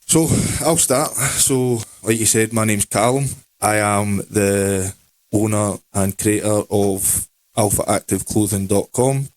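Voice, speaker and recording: A Scottish man in his late twenties co-hosting a podcast in a relaxed, rambling chat. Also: lowish voice, slow. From Scotland. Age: 20s. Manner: relaxed, rambling, with Scottish words like aye and wee. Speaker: podcast co-host. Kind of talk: casual chat.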